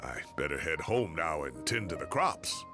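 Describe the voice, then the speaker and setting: A kindly old professor in a video game, chatty and fatherly, his voice rising and falling with a very lively lilt. Game music sits under him. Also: rumbling low, moderate pace, heavily acted. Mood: genial. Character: kindly old male professor, genial farmer. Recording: game audio with music behind.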